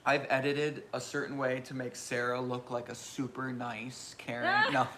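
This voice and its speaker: A man in his thirties with a loud voice, hosting a casual live question-and-answer chat and rambling with self-mocking humor. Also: mid-range voice, normal speed, slight background noise. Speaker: channel host.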